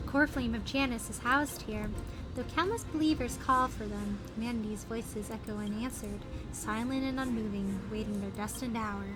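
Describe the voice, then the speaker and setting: A woman giving casual livestream commentary on a video game in an animated manner. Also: fairly high pitch, normal speed. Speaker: livestream host. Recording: game music under the voice. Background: video game music.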